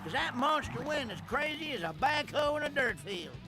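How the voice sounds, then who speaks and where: High-pitched Southern hick tow truck. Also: male voice, moderate pace, heavily acted. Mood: spooked, storytelling. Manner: folksy, ungrammatical, excitable yarn-spinning. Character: boastful rustic male tow truck telling tall tales. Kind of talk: animated video game character narration. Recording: loud music behind the voice. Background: loud game music.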